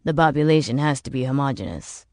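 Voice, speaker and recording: A woman voicing a bitter, world-weary older man in an audiobook, explaining grim things in a measured, emphatic way. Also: a bass voice, lively intonation, a little mellow, no echo, clean studio sound, pitched below the performer's own voice. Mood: grave. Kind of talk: audiobook character dialogue.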